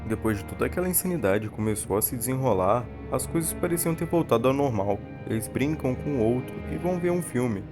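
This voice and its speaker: A man's voice: mid-range voice, expressive, a little fast, hardly any pauses. A man with a Brazilian accent giving scripted video-essay narration and analysis. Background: background music.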